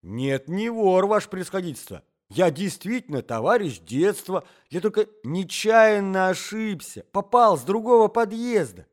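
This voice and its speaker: A man's voice: a little high, expressive, normal speed, darkish tone, natural voice. Man acting a flustered, whining, pleading wretch. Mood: desperate. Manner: whining, babbling, obsequious. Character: pleading, flustered, hapless man caught hiding.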